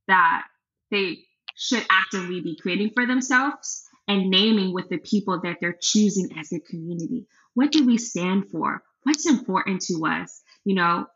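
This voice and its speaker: A woman's voice: medium pitch, sharp tone, slight background noise. A woman guest talking earnestly and reflectively in a casual conversation. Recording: dull lo-fi sound.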